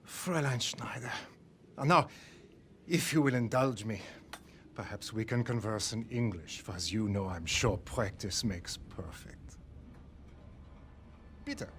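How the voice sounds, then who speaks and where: An actor playing a menacing Nazi commandant with a German accent and a formal, mock-courteous manner in a cinematic war game. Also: male voice, on the low side, measured pace, long pauses, slight background noise, close to an everyday voice. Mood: silkily menacing. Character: suave, menacing Nazi commandant testing a visitor.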